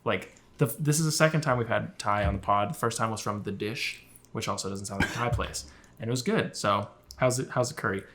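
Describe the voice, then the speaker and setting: A man's voice: lowish voice, a little fast, a bit bright, slight background noise. A young man co-hosting a casual lunch podcast in a kitchen, easygoing and wry, swearing casually as he talks. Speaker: podcast co-host. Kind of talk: casual chat over lunch. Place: home kitchen, indoors.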